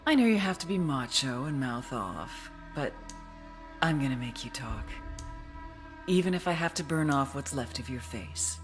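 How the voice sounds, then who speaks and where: A tough, foul-mouthed intelligence officer in a game. Her voice is low and her delivery is blunt, wry and intimidating, with music clearly playing behind her. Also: sing-song, moderate pace. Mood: taunting threat. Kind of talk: video game briefing narration and interrogation.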